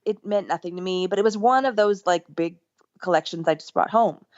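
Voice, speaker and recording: A middle-aged woman, a podcast guest, telling a story in an enthusiastic, rambling way, with lively jumps in pitch. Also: brisk, no echo. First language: English. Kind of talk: casual storytelling interview. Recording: dull lo-fi remote line.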